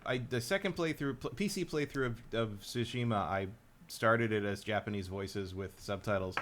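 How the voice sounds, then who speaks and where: A man's voice: on the low side, normal speed, background noise. A man co-hosting a casual game talk show, rambling with many restarts and fillers while giving blunt opinions. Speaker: podcast co-host. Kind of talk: casual chat about games.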